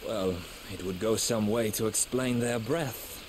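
An actor playing a loyal right-hand officer in a video game cutscene, formal, deferential and assured. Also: male voice, lowish voice, a little slow, natural voice. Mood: wry. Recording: noisy ambient game sound behind.

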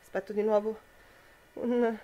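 A woman's voice: medium pitch, level tone, unhurried, warm tone, muffled recording, natural voice. A young woman playing a loving, incredulous wife in an amateur radio drama. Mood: shocked. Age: young adult.

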